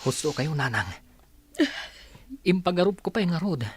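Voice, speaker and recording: Man as earnest homecoming son seeking justice, Filipino accent, husky, dry close audio drama sound. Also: a little high, animated, normal speed, no room sound.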